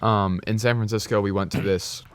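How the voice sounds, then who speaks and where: A man's voice: fairly low pitch, fairly even intonation, fairly quick, clean tone. A young man co-hosting a podcast, chatting in a laid-back, hesitant way. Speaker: podcast co-host. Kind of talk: casual chat.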